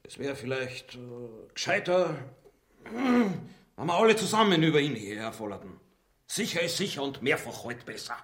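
Ein Schauspieler spielt in einer Hörspielfarce einen vorsichtigen, unterwürfigen Hauptmann und Handlanger. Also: male voice, a little high, lively intonation, normal speed, fairly bright tone. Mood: hesitant, scheming. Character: cautious, obsequious military henchman and captain. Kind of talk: farce radio acting.

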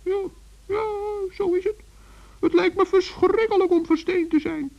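Tiny elderly gnome: very high, creaky, gentle and dithering, for a children's radio play, dull old recording. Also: male voice, measured pace, full character voice. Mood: pitying, earnest. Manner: dithering, repeats himself, talks in diminutives. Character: small old bearded gnome, gentle, shy, kind-hearted.

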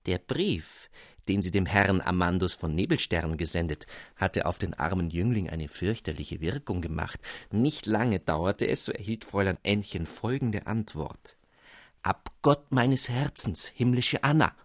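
Expressive male storybook narrator. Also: sits low, dry recording, studio quality. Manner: expressive, measured. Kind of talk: storybook reading.

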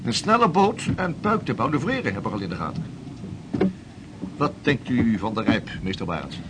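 A man's voice: medium pitch, very animated, moderate pace, very noisy, close to an everyday voice. A man in a radio play acting a confident, cheerful ship's mate. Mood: confident, upbeat.

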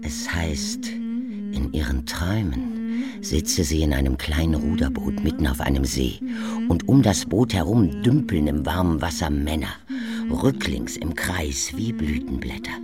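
An actor playing an insinuating courtier in a radio drama, spreading scandal with mock discretion. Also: male voice, rumbling low, very animated, measured pace, full character voice. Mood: gossiping, insinuating. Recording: loud music over voice.

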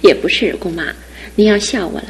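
An actress in her twenties playing a cheerful, resilient young wife in a radio drama, soft and earnest. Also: mid-range voice, a little fast, a little hiss or hum, lightly acted.

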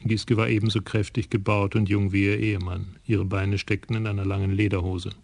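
A man narrating a radio crime drama in a measured, even storytelling voice. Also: lowish voice, gravelly, breathy edge, dry recording.

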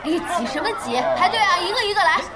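A fiery young lady boss, high and rapid, snapping out blunt words with big swings of pitch. It is radio drama, with music and crowd behind her. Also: animated, roomy sound. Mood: scolding. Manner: brisk, scolding, blunt. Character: fiery, bossy young female internet cafe boss. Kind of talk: Chinese radio drama acting.

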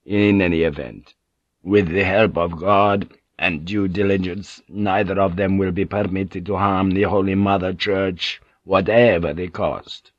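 A man's voice: sits low, steady tone, measured pace, no echo, dull audio. A man voicing a stern church leader. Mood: grave. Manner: stern, commanding. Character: stern authoritative older male church leader.

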